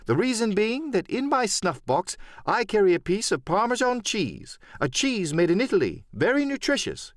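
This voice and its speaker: Man voicing a high, animated old-time ship's doctor. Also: moderate pace, hardly any pauses, no echo, studio quality. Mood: pleased, playful. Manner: educated, emphatic, lecturing. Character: brisk, kindly, wry 18th-century English ship's doctor. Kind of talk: audiobook character acting.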